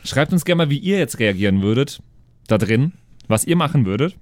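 A man's voice: medium pitch, expressive, brisk. A man hosting a podcast, chatting casually and reading out thanks with jokey little asides. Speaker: podcast host.

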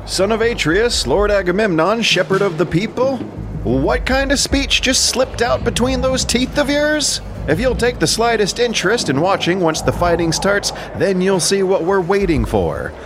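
A man's voice: high voice, expressive, moderate pace, noisy background, pitched above the performer's own voice. A man playing an indignant, cunning Greek warrior captain in an epic audio drama, with a biting, declamatory manner. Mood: angry, defiant.

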